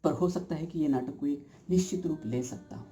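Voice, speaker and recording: Casting: male actor as uncertain, brooding everyman for a stage monologue; very mellow, dark tone, deliberate philosophical delivery with dramatic stresses. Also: fairly high pitch, faint music behind, close to an everyday voice. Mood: emphatic, questioning. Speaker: actor performing an audition monologue. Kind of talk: theatrical stage monologue addressed to the audience.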